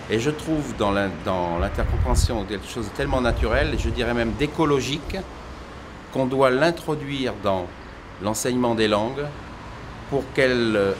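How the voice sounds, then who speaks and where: Male interviewee, average pitch, explanatory delivery, noticeable room noise. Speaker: language education specialist. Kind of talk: reflective explanatory answer. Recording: clear ambient background noise.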